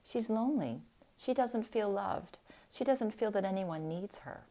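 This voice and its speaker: A woman voicing a sad, serious male doctor in a storybook, speaking in a deliberate manner. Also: mid-range voice, expressive, warm tone. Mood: grave, sad. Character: grave male doctor, sad and plainly explaining.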